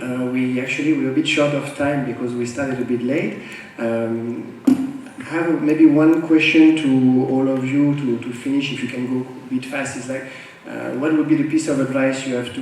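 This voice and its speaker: A man's voice: mid-range voice, a little mellow, a little hiss or hum. Polite male moderator in an echoey hall. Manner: polite, brisk, keeping time. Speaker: panel moderator. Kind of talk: moderating a panel. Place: school hall. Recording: echoey hall, distant mic.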